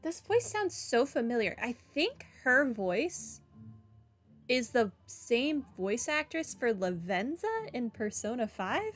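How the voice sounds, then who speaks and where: Woman, game streamer, slightly high voice, casual commentary, faint game music. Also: sing-song, takes it slowly.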